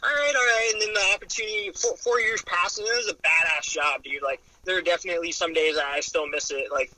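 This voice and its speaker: A man in his late twenties talks in a relaxed, easygoing way, dropping "dude" and "man" into nearly every sentence. His voice is very bright and sits a little high for a man. He sounds like a police officer chatting casually on a podcast. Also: fast, a little hiss or hum, rough recording. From Arizona. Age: 20s. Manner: laid-back, says dude and man constantly. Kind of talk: casual chat.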